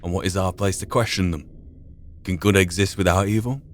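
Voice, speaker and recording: A man giving measured, reflective commentary and reading aloud during a video game playthrough. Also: deep voice, steady tone, slight background noise. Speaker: gaming channel player.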